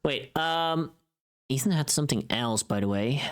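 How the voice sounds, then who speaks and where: Playful, expressive livestreamer with lively pitch. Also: moderate pace, smooth. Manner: playful, thinking aloud, expressive. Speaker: livestreamer and voice actor making a voice pack. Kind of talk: casual livestream chat.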